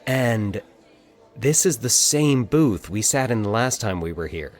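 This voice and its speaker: A man's voice: lowish voice, expressive, a little slow, faint music behind. A man playing a casual, slightly uneasy young man in an audio fiction story, understated and hesitant. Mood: subdued.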